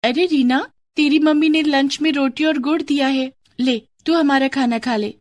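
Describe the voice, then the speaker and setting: A woman voicing a boastful, excitable well-off schoolgirl in a storybook tale, bubbly and showy. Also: sits high, moderate pace, no echo, clean studio sound, pitched above the performer's own voice, lightly acted. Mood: condescending. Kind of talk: storybook character dialogue.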